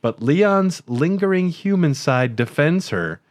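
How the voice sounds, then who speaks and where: A man's voice: a little high, lively intonation, measured pace, dry recording, clean studio sound. A man co-hosting a podcast, reading out a silly plot summary and trading wry, casual jokes. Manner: wry, joking. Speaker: podcast co-host.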